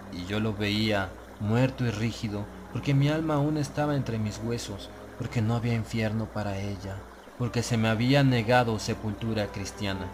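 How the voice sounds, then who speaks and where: A man reading a gothic horror audiobook in a solemn, deliberate and dramatic manner. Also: fairly low pitch, background noise. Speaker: audiobook narrator. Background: music.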